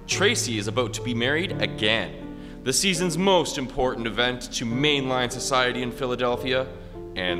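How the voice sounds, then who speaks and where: An actor playing a grand, theatrical 1940s radio announcer introducing a play. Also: male voice, mid-range voice, close to an everyday voice. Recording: echoey hall, music underneath.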